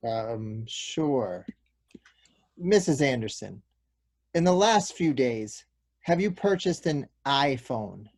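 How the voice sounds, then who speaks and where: A man's voice: medium pitch, very animated, slow pace, pauses often, a little mellow, exaggerated voice. A man playing an earnest, slightly awkward police detective in a comic play read aloud. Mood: formal, questioning. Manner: formal, apologetic. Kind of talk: table-read stage comedy acting.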